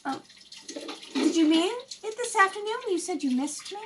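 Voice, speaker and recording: A woman's voice: extremely high pitch, animated, moderate pace, noisy background, voice pushed up. A woman playing a sweet, naive, coy young society woman in an old-time radio comedy. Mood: lovestruck.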